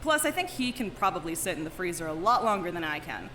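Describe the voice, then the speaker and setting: A woman's voice: on the low side, big pitch swings, quick pace, fairly bright tone, reverberant, slight background noise. A woman voicing a brash, bossy young woman who swears constantly, in a fan audio drama.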